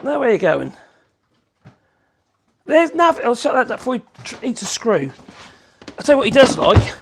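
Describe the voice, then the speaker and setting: A chatty man in his fifties, hosting a relaxed woodworking livestream and wandering from topic to topic. His voice sits unusually high for a man and carries a rough, gravelly crackle. He drifts into a cooing, sing-song tone whenever he talks to his puppy. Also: normal speed. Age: 50s. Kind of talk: casual livestream chat.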